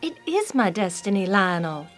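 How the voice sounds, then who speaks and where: A woman's voice: medium pitch, very animated, normal speed, faint music behind, lightly acted. A woman playing a proud, defiant heiress in a melodramatic audio drama, speaking in an earnest, defensive, emphatic way. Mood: hurt defiance. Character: proud, defiant oil heiress, torn by family duty.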